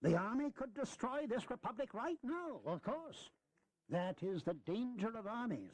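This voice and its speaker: An actor playing a shrewd, philosophical old statesman in a historical audio drama, speaking in deliberate, theatrical oratory. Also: very high-pitched, very animated, a little mellow, no room sound, heavily acted. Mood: philosophical, firm.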